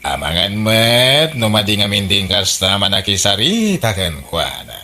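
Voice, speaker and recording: A man playing a patient older father with an Ilocano accent, giving slow, admonishing counsel in a radio comedy drama. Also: on the low side, sing-song, sharp tone, slight background noise, exaggerated voice. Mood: advising, weary.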